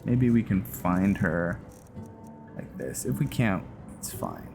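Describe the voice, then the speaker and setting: A man hosting a roleplay game playthrough, giving casual, low-key commentary as he thinks aloud. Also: sing-song, takes it slowly, creaky. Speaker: gaming video host. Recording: loud game music behind the voice. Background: video game music.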